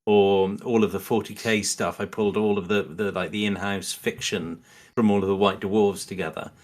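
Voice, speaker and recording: Slightly low-voiced man, rambling podcast chat. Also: steady tone, moderate pace. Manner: rambling, hedging, acts out imagined quotes. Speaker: podcast guest. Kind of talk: casual chat, explaining opinions.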